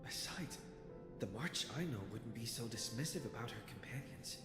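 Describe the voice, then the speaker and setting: An actor playing a wary, puzzled young hero in a video game, reasoning in a measured, hesitant, suspicious way. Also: male voice, medium pitch, lively intonation, reverberant, in a large hall, over loud music, natural voice.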